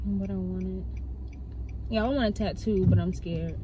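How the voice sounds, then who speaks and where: A woman's voice: mid-range voice, fairly even intonation, moderate pace. A young woman, chatting casually into her phone while she drives, rambling with lots of fillers. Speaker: vlogger. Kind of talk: casual chat while driving. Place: in a car on the road. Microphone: phone mounted in the car. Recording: dull phone audio, loud road noise.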